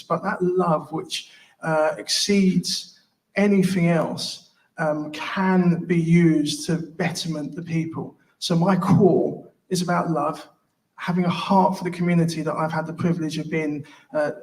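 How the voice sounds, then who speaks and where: A man giving an earnest, halting hustings speech as an independent candidate, often repeating words. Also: a little high, rough recording. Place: live public hustings event.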